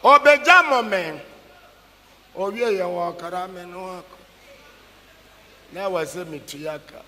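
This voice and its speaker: A man's voice: high-pitched, slow, bright, forceful tone. An older man with a Ghanaian accent preaching a sermon emphatically, repeating key phrases and calling for responses. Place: large packed church auditorium, on stage. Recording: echoey large hall PA, background noise.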